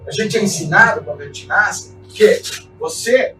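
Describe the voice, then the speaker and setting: A man speaks in an earnest, reflective way, tossing in little tag questions as he goes. His voice sits a bit high and comes from some distance off the mic in a roomy, echoing space. Soft guitar music plays underneath. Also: lively intonation, normal speed, slight background noise. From Chile. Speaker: mountaineer and expedition guide. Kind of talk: reflective motivational talk.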